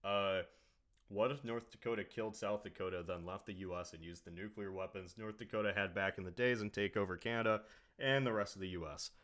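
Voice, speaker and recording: A man's voice: fairly low pitch, a little fast, fairly bright tone. A man hosting a casual podcast chat, drawling and trailing off into mumbles. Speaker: podcast host.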